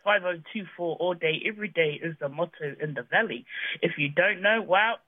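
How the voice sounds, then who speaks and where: A woman's voice: lowish voice, a little fast, breathy edge, no room sound. Chatty New woman, tech founder guest, bubbly, phone-line audio. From New Zealand. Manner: bubbly and chatty, laughing easily. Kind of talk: radio interview. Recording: tinny dry phone line.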